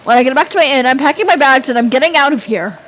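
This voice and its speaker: A woman's voice: on the high side, very animated, slow, a little hiss or hum, poor audio. A woman voicing a male freelance writer in a horror drama, unhinged and sneering, swinging between panic and cold menace. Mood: panicked. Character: freelance writer man, panicky then coldly menacing killer.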